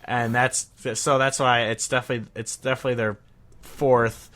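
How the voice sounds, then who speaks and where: A young man co-hosting a casual podcast, agreeable and quick to say yeah while giving his own opinions. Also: medium pitch, level tone, fairly bright tone. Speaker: podcast co-host. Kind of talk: casual chat.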